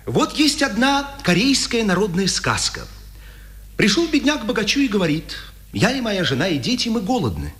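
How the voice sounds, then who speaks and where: An actor playing a defiant, ironic Communist writer held prisoner, speaking with dry irony in a 1950s Soviet radio drama. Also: male voice, high voice, very animated, a bit bright. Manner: dry irony, deliberate storytelling.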